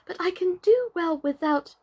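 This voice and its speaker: A woman's voice: extremely high pitch, lively intonation, moderate pace, darkish tone, voice pushed up. A woman voicing a polite but spirited young girl in a storybook reading. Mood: timid, polite.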